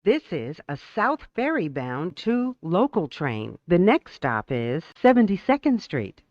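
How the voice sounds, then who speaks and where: An announcer reads scripted subway announcements slowly and formally, with big swings in pitch. The words are measured and carefully enunciated. The sound is dry and somewhat dull. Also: big pitch swings, dry recording. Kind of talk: scripted automated transit announcements.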